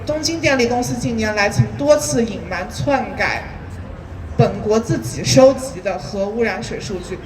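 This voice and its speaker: A woman's voice: on the high side, moderate pace, crowd noise. A woman whose first language is Chinese, speaking as a youth climate activist and carefully reading a statement aloud with small hesitations. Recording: distant mic, clear street noise with passing vehicles.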